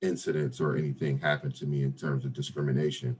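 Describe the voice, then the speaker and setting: A man around forty with a deep voice, talking casually as a guest on an interview podcast, with many fillers and restarts. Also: flat delivery, quick pace, a little mellow. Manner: laid-back, many fillers and restarts, says "brother" and "right?". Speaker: real estate investor guest.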